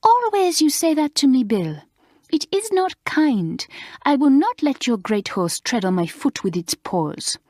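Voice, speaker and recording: A woman voicing an excitable French schoolmistress, with a put-on French accent, high and slow. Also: no echo, studio quality. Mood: fretful.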